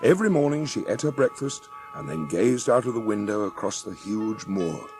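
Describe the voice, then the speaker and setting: A man narrates a storybook in a fairly low, husky voice with a creaky edge. His scripted reading has music behind it. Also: big pitch swings, moderate pace.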